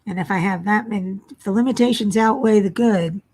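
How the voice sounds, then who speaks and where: An older woman hosting a casual livestream from home, chatting and answering viewers, her voice at times a little low and raspy from a frog in her throat. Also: a little fast, darkish tone. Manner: chatty, self-deprecating, reads chat names aloud. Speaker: livestream host. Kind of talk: casual chat reading viewer comments. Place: home workspace.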